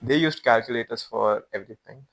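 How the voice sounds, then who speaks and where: A man's voice: mid-range voice, fairly quick, gravelly, a bit bright. A man in his early twenties with an Indian accent, chatting casually as a podcast guest in informal, slangy speech. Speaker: podcast guest, former exchange student.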